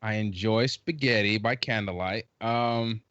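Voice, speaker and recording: A middle-aged man with a low voice chats as a podcast guest, joking around and restarting his sentences. He comes through a dull, lo-fi remote line. The sound is dry. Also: a little slow, clear, resonant tone, dry recording. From Trinidad, raised in South Carolina. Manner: jokey and animated, with many restarts. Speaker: podcast guest, basketball writer. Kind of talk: casual chat.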